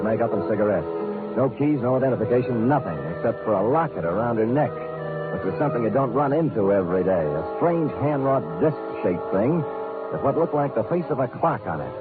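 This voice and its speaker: An actor playing a wisecracking hardboiled private detective with an American accent, wry and clipped, in 1940s radio noir style. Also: male voice, mid-range voice, lightly acted. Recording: narrow lo-fi old radio, music behind.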